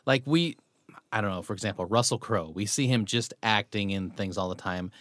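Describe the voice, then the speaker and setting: A man hosting an interview, asking relaxed, conversational questions. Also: on the low side, brisk, no room sound. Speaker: interview host.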